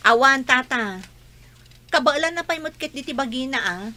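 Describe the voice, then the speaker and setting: Defensive elder sister for village radio drama, played by a woman: Ilocano accent, sharp and a little high, always complaining. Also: animated, moderate pace. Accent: Filipino, Ilocano.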